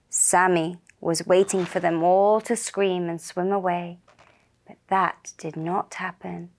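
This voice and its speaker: A woman telling a children's puppet story in a gentle, deliberate and soothing manner. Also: on the low side, vocal fry. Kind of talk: slow narrated children's story.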